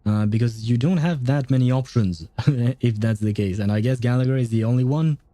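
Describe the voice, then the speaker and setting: Very mellow-voiced man livestreaming games. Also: medium pitch, fairly quick, no room sound. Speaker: game livestreamer. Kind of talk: casual live commentary, chatting with viewers.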